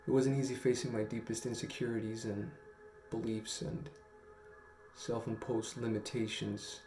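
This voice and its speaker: A young man talking reflectively to camera in a slow, halting, earnest way with long pauses. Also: mid-range voice, flat delivery, darkish tone. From Guam. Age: young adult. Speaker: storytelling vlogger. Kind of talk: reflective personal talk to camera. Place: small room at home, indoors. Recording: roomy echo, faint music.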